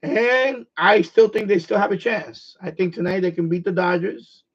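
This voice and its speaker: A middle-aged man from New York with a New York accent, hoarse, chatting casually on his livestream and restarting his phrases as an eager sports fan. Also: high-pitched, moderate pace. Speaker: livestream host. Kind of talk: casual rambling chat. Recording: dull lo-fi stream audio.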